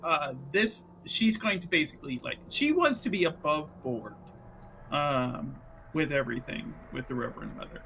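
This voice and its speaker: A man improvising casual, halting and wordy narration as a player in a tabletop roleplaying game. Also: a little high, bright, forceful tone, a little hiss or hum, rough recording.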